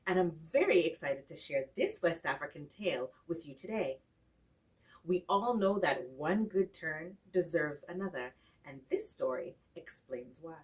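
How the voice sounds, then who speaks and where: A woman telling a folktale in an expressive, dramatic style with deliberate phrasing and emphatic repetition. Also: low-pitched. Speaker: storyteller. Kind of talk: expressive oral folktale storytelling. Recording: distant microphone.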